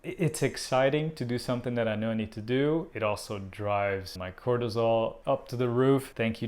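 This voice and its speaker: Low-pitched male artist, Portuguese-native, casual, hesitant delivery with lots of ums. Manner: hesitant and self-deprecating, many fillers. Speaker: artist giving a channel update. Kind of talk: casual vlog update.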